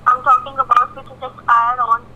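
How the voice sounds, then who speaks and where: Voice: sits high, flat delivery, brisk. A call centre agent speaking over the telephone in a formal, insistent, scripted way. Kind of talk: scripted phone sales pressure. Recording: noisy telephone line.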